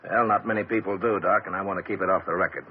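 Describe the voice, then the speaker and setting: A tough middle-aged gangster father with a low, husky voice and blunt street slang. He talks like a man used to buying what he wants. The sound is dull and lo-fi, like an old radio broadcast. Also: fairly even intonation, rapid-fire. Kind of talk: 1950s radio drama acting.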